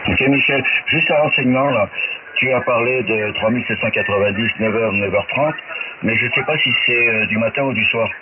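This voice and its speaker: A man's voice: medium pitch, brisk. A man chatting casually as an amateur radio operator over a shortwave transmission. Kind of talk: casual ham radio chat. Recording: narrow-band shortwave radio, clear hiss.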